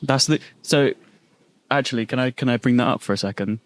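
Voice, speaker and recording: Young male co-host from the UK, mid-pitched, enthusiastic and slangy, loose banter. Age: young adult. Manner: enthusiastic, slangy, quick to laugh. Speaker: podcast co-host. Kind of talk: casual chat.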